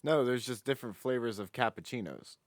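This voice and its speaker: A man's voice: mid-range voice, brisk, dry recording, clean studio sound. An American man co-hosting a podcast, chatting and bantering casually. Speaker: podcast co-host.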